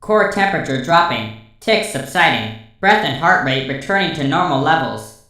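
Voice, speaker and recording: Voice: mid-range voice, animated, moderate pace, sharp tone, distant mic. A performer voicing a playful young female robot pony assistant with a synthetic, echoing voice, in an audio story. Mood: scanning, mechanical. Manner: clipped machine-like status reports mixed with teasing. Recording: echoing processed sound.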